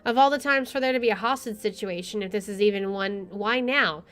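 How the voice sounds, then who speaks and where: A woman's voice: mid-range voice, fairly quick, fairly bright tone. A woman streamer reading a visual novel aloud and adding casual commentary. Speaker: let's play streamer. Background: faint game music.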